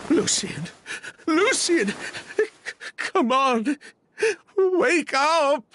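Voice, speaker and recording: An actor playing a distraught grieving brother in a video game, crying, stammering and pleading in a halting way. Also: male voice, extremely high pitch, expressive, a bit bright, slight background noise, full character voice. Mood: desperate.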